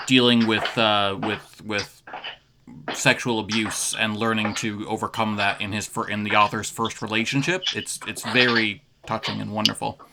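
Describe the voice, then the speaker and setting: A man's voice: lowish voice, flat delivery, moderate pace, vocal fry, background noise. A man hosting a radio interview in casual chat, rambling with fillers and restarts. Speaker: radio host.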